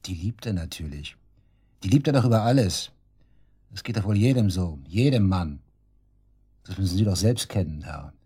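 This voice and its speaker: Radio crime drama: cornered suspect, low and mellow-dark, crude slang, scornful, naturalistic. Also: male voice, slightly rough. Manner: sarcastic, crude, defiant, slangy. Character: brash, sneering male murder suspect under police questioning.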